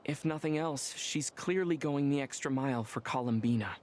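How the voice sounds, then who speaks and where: An actor playing an earnest, heroic young traveler in a video game, sincere and thoughtful in manner. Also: male voice, medium pitch, moderate pace, a little mellow, slight background noise, lightly acted.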